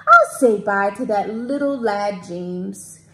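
A woman's voice: medium pitch, lively intonation, takes it slowly, bright, forceful tone, voice pushed down, heavily acted. A woman voicing a hungry, friendly young male dragon in a children's storybook, with playful rhyming speech. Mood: cheerful, eager.

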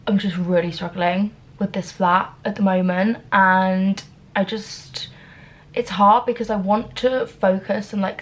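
A British woman in her twenties, chatting candidly to camera while doing her makeup at home, with lots of "like" fillers. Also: mid-range voice. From Britain. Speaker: beauty vlogger. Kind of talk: casual talking-to-camera vlog. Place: bedroom at home, indoors.

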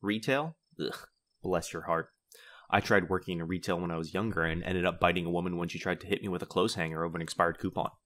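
A man's voice: low-pitched, quick pace, fairly bright tone. A man playing a grumpy, easily flustered vampire in a comedy audio roleplay, sarcastic and huffy in manner. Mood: dry, relaxed. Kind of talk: comedy ASMR roleplay acting.

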